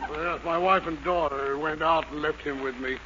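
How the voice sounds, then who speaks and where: Hapless, highish-voiced radio family man. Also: fairly even intonation, moderate pace, bright, forceful tone. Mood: sheepish. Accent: American. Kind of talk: 1940s radio sitcom acting. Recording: muffled radio recording with heavy noise.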